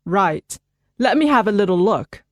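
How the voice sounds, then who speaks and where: A woman reading a calm doctor. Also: medium pitch, dry recording, clean studio sound. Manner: clear, measured, reassuring delivery. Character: calm reassuring female family doctor. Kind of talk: scripted dialogue for English listening practice.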